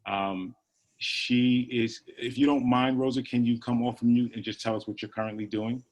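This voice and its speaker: A man moderating a panel over a video call, reading out introductions in a halting, apologetic way. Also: medium pitch, steady tone, darkish tone, muffled recording.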